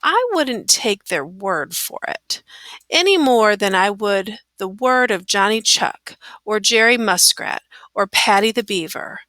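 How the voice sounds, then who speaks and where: A woman voicing a shrewd, skeptical crow who muses to himself in a children's storybook, speaking deliberately. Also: mid-range voice, lively intonation, sharp tone, dry recording. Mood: skeptical, wary. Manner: deliberate muttering, musing.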